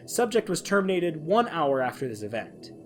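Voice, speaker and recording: Male podcast host, fairly high voice with very animated intonation, faint music underneath. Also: normal speed. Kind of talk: dramatic reading of a science-fiction horror log; casual podcast sign-off.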